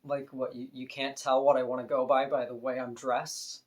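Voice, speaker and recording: A woman with a deep voice, talking frankly and casually to camera in an opinion vlog, now and then laughing softly. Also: level tone, moderate pace. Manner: frank, conversational, with soft laughs. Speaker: vlogger.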